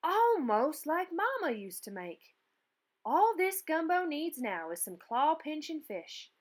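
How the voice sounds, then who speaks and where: A woman's voice: high-pitched, big pitch swings, takes it slowly, full character voice. A woman doing a grumpy old alligator. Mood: grumbling. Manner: moaning, stubborn.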